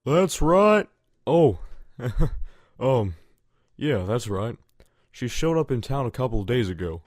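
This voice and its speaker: A man's voice: medium pitch, big pitch swings, slow, darkish tone, dry recording, studio quality. A man playing a stubborn, easygoing farm pony with a folksy American Southern drawl in a fan audio drama. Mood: sheepish recounting.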